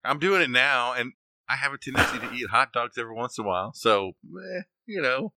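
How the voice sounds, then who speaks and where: A man's voice: medium pitch, expressive, a little fast, background noise. A man co-hosting a podcast in casual, playful, joking chat. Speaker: podcast co-host.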